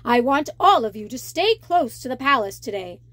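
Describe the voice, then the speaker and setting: A woman's voice: sits high, sing-song, moderate pace, a bit bright, dry recording, full character voice. A woman voicing a stern, protective merman king in a children's storybook, speaking in a commanding, emphatic way. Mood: stern, warning. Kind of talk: children's storybook read-aloud.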